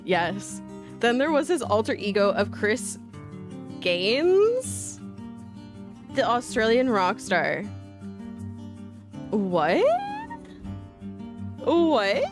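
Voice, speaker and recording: A woman's voice: a little high, sing-song, extremely slow, long pauses. A woman livestreamer rambling casually to her viewers in a playful, goofy way, full of slang and silly exclamations. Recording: music playing behind the voice.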